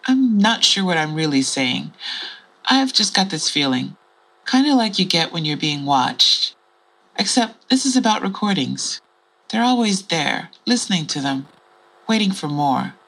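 Actress doing mysterious blunt traveller woman, lowish voice that swings around a lot, candid, audio drama. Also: big pitch swings, measured pace, fairly bright tone, heavily acted, low-quality recording. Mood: eerie musing. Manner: musing, candid, sweary.

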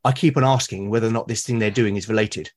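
A man with a British accent, a podcast guest talking casually and at length, often pausing to rephrase his thoughts. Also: fairly low pitch, fairly even intonation. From Britain. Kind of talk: casual conversation about solo roleplaying.

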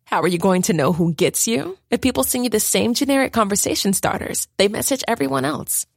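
Brief: female ad reader, husky with vocal fry, expressive, dry close sound. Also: medium pitch, brisk, no echo. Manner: upbeat, persuasive. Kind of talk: read commercial.

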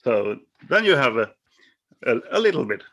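A man's voice: mid-range voice, very animated, fairly bright tone, dull audio. Swedish man, podcast host, non-native English, hesitant and laughing, over a call. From Sweden. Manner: halting, many fillers and restarts, laughs often. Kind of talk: casual chat. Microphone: remote call.

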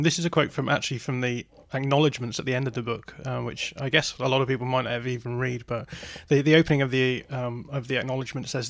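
A man's voice: mid-range voice, no room sound, studio quality. A man hosting an interview in a conversational way. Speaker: interview host.

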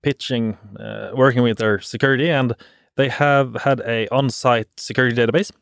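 A man's voice: mid-range voice, steady tone, creaky, fairly bright tone, no room sound, studio quality. A man from Norway, a podcast guest, explaining a work story with many halts and restarts. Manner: halting, with many restarts and fillers. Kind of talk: casual podcast chat.

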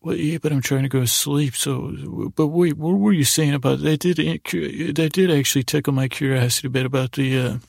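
A man playing a weary sleeper who pleads with his inner voices, halting, put-upon and easily distracted, with a gravelly voice. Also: on the high side, darkish tone, dry recording, clean studio sound.

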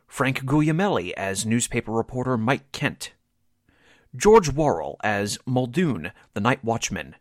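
A man's voice: medium pitch, no room sound, studio quality. A man working as a radio drama announcer, reading scripted closing credits.

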